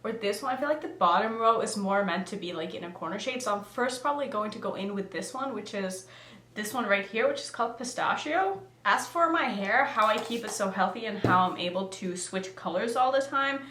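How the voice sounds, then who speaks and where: A woman's voice: mid-range voice, animated, brisk, bright, forceful tone, slight background noise. Chatty Norwegian-born woman in an echoey room. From Norway. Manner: chatty, giggly, thinks aloud. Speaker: makeup vlogger. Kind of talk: casual makeup tutorial chat.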